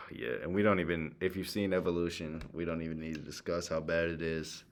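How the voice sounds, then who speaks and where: A man's voice: low voice, steady tone, brisk. A man chatting casually as a podcast co-host, speaking in loose slang with some swearing. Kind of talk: casual chat, ranking films.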